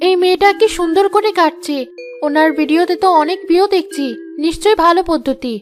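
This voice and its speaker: A woman voicing female family characters in a scripted cartoon, with expressive, dramatic delivery. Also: extremely high pitch, a little fast, faint music behind. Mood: anxious, self-talking. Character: women of a family cartoon: fussy aunt, anxious young bride.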